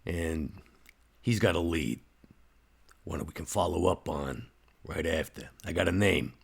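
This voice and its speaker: A man puts on a hard-boiled vampire tough guy for a tabletop game. The voice is extremely deep, gravelly and husky. He talks in blunt street slang. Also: unhurried, full character voice. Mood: businesslike.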